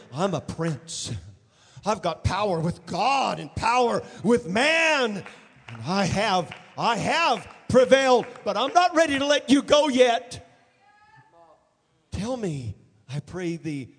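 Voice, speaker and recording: A man preaching a dramatic sermon, acting out voices in the story and rising to shouts at the peaks. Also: sits high, in a large hall. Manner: dramatic, acts out biblical figures in quotes, shouts at peaks. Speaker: pastor.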